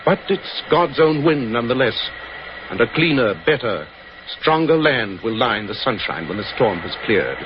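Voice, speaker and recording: An eloquent British detective played by a man in a 1940s radio play. His delivery is commanding and lofty, with very animated intonation. The recording is muffled, with clear background noise. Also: medium pitch, moderate pace, close to an everyday voice. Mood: grave, stirring. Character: brilliant, commanding, eloquent male English detective. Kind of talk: 1940s radio drama acting.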